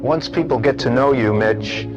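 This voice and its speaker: A man's voice: medium pitch, moderate pace. A man interviewing someone casually in a studio. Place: equipment-packed studio. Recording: dull lo-fi tape, music behind. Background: music.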